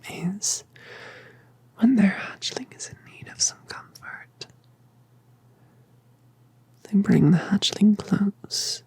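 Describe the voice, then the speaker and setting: A colossal mother dragoness, voiced by a woman, murmurs in a breathy, airy whisper. She drifts along slowly and tenderly, soothing a hatchling toward sleep. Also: mid-range voice, full character voice. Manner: soft, slow, soothing. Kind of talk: whispered ASMR roleplay.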